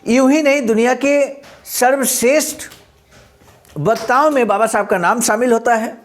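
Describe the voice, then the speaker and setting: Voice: mid-range voice, moderate pace. A presenter reads a speech aloud and explains it in a didactic way, repeating points for emphasis.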